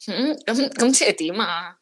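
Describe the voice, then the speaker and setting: Lively, teasing Hong Kong co-host. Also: female voice, medium pitch, moderate pace, slightly rough. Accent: Hong Kong Cantonese. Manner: musing, teasing. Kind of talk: casual chat.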